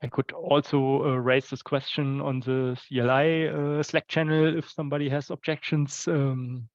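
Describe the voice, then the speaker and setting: A man's voice: mid-range voice, level tone, moderate pace, no echo. Man, hedging explainer, casual tech meeting talk, muffled online call, little room sound. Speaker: software working group participant.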